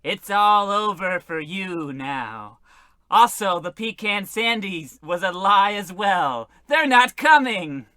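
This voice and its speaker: Slow, sharp-edged mad villain writer. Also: male voice, high voice, animated, clean tone, dry recording, full character voice. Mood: gloating. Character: gloating villainous novelist, a doom-mongering madman. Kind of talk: old-time radio play comedy acting.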